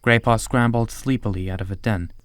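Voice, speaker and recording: Low-voiced man narrating an audiobook. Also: steady tone, fairly quick, smooth, no room sound.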